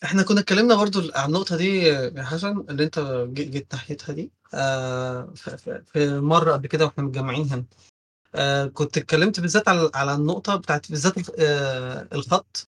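Very fast-talking Egyptian man. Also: fairly high pitch, a bit bright. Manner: agreeable, recalls details with restarts. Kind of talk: casual discussion.

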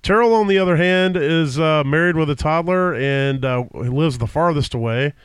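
A man's voice: fairly high pitch, normal speed, clean tone, no room sound. A man with a slightly stuffy nose from a cold, hosting a casual podcast from a home basement, rambling with many fillers and restarts. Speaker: podcast host. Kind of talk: casual chat. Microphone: podcast microphone.

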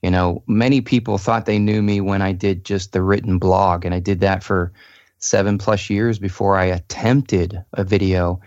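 Low-voiced guy on a podcast, earnest, kinda explainy, muffled lo-fi sound. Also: fairly even intonation, moderate pace, darkish tone, dry recording. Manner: earnest, explains with many "you know"s. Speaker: guest. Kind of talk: casual podcast chat.

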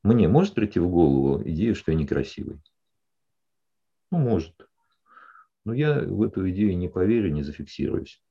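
A man with a deep, warm and husky voice counsels a client in a steady, explanatory way. He keeps pausing to check that she follows. The sound is a little dull and lo-fi. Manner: calm, explanatory, often checks in with "да?". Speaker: psychologist. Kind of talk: counseling session.

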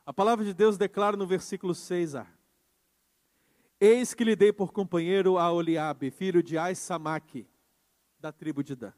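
A man pastor preaching a sermon with emphasis, moving between quiet passages and loud insistent ones. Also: sits high, moderate pace. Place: church. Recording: church hall with long reverb.

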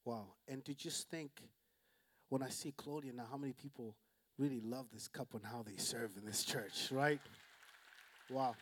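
Man, pastor, energetic call-and-response preaching, mid-pitched voice. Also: moderate pace. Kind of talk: church interview.

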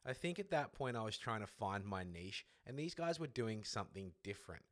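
A man leaving a recorded voice message for a podcast, talking in a measured, reflective way. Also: fairly low pitch, sing-song, fairly bright tone.

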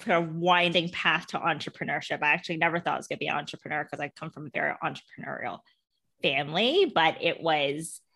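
A woman's voice: sits low, vocal fry, bright, forceful tone. A woman in her thirties from Toronto and Hong Kong, an entrepreneur chatting through her career story with frequent ums and light laughs. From Canada and Hong Kong. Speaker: entrepreneur guest. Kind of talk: casual interview storytelling.

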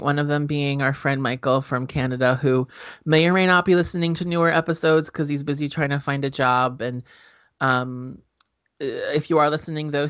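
A man co-hosting a casual podcast, talking in an expressive, animated way and laughing often. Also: mid-range voice, no room sound. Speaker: podcast co-host. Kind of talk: casual chat. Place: indoors.

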